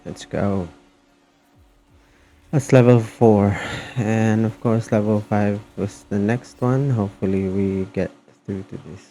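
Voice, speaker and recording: A man giving casual, somewhat halting gameplay commentary as he streams. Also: fairly low pitch, steady tone, warm tone, faint music behind. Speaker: game streamer. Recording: faint background noise.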